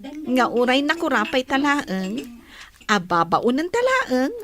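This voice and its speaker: An actress playing a lively young village student who married into money, with drawn-out, excitable delivery in an Ilocano radio drama. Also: female voice, high voice, crowd noise, heavily acted. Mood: gleeful, teasing.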